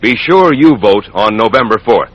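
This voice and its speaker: A man's voice: on the low side, animated, normal speed. An American man reading a scripted public service announcement as a radio announcer. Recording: muffled old radio broadcast.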